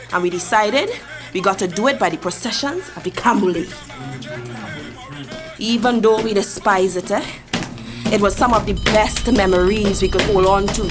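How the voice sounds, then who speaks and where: A proud older Trinidadian matriarch holds the floor, as an actress plays her, recounting history with fierce conviction. Her voice sits a little high. Music plays under the radio-drama scene. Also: close to an everyday voice. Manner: storytelling, impassioned, preaching history. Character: Mavis, older community matriarch, proud and forceful. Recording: music behind the voice.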